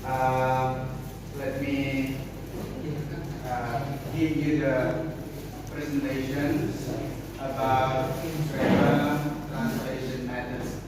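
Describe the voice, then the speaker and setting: A man's voice: mid-range voice, flat delivery, drawn-out words. A man giving a classroom presentation as a student teacher, hesitant, with many fillers and restarts while he searches for words. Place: large classroom, indoors. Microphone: far camera at back of room. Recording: echoey classroom from far off, noisy.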